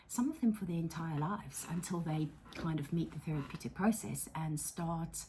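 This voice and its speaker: A middle-aged South African woman hosting a casual vlog, chatty and self-deprecating, dropping into soft whispery asides. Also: deep voice, moderate pace, a little hiss or hum. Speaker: psychotherapist and channel host. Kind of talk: casual talking-to-camera vlog. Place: her counselling room.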